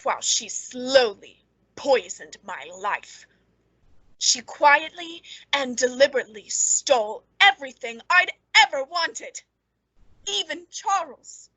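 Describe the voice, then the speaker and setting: A woman's voice: sits high, very animated, slow pace, pushed and bright, no echo, full character voice, rough recording. A woman playing a cold, gleeful murderess who narrates and speaks in a theatrical radio suspense drama. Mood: gloating confession.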